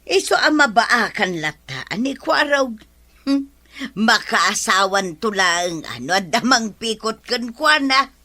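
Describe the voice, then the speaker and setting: A woman's voice: a little high, expressive, slow, bright, forceful tone, full character voice. A woman with an Ilocano accent playing a chatty, nosy village woman in a comedy drama. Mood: gossipy.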